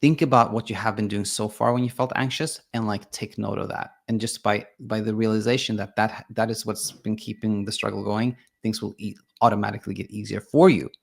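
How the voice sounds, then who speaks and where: Gravelly Swedish-native man explaining calmly. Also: lowish voice, fairly quick, few pauses. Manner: hesitant, many restarts and fillers; reads viewer comments aloud. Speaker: sleep coach. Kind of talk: explanatory monologue.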